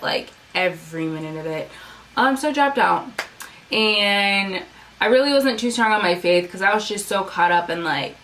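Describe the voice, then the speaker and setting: A woman's voice: on the low side, fairly bright tone. A young woman from the United States with a Sudanese family, chatting casually on her vlog, rambling with many fillers. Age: young adult. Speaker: YouTuber. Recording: mic at a distance, faint room noise.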